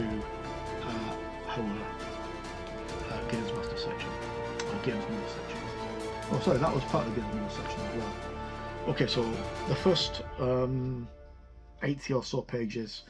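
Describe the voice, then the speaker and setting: A man giving a casual, unscripted walkthrough of a game sourcebook, rambling and hesitant, often correcting himself as he goes. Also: mid-range voice. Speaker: reviewer presenting a tabletop game sourcebook.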